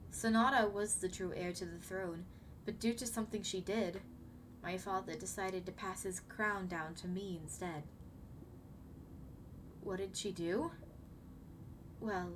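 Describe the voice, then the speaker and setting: A woman voicing a young siren queen, gentle, anxious and apologetic, speaking formally and hesitantly to the listener in an ASMR roleplay. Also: medium pitch, lightly acted. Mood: hesitant, somber. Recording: clearly noisy background ambience.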